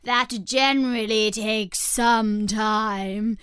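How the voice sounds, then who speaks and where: A woman voicing an excitable, bossy male Gryphon from a storybook, exclaiming and calling out instructions. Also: fairly high pitch, very slow, clear, resonant tone, no room sound, studio quality. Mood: brisk, interjecting. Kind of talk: storybook character voice.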